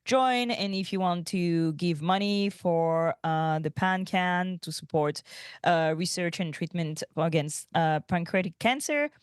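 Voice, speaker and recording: A woman co-hosting a podcast, giving announcements in casual chat and searching for her words in a halting way. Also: lowish voice, clean tone, dry recording, studio quality. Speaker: podcast co-host.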